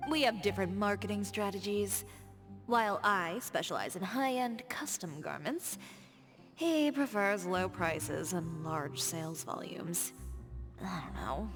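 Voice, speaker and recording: A woman voicing a poised, shrewd fashion designer who talks calmly and confidently. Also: medium pitch, close to an everyday voice. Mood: composed. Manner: calm, measured, confident. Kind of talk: game dialogue. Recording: game audio with music behind.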